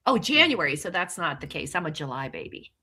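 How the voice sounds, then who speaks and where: A woman's voice: deep voice, sing-song, brisk, bright, forceful tone, slightly rough. A woman in her sixties chatting casually on a panel in a playful, emphatic way. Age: 60s. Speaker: panelist on a small business show. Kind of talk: casual chat.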